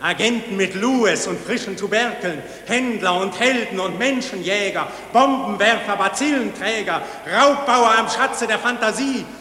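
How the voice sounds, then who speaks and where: Otherworldly avenger: solemn, accusing, piercing, declaimed radio verse in cavernous reverb. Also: male voice, high-pitched, unhurried, few pauses, pushed and bright. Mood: wrathful, accusing. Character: wrathful cosmic voice from Mars judging mankind. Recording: echoing, long cavernous reverb.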